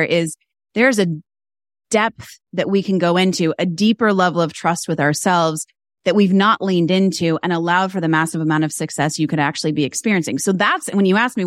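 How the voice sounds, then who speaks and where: A woman's voice: on the low side, no echo. Emphatic woman, dry close sound. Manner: animated, emphatic, builds points through repeated phrases. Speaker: guest, fashion stylist and business mentor. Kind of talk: podcast interview conversation.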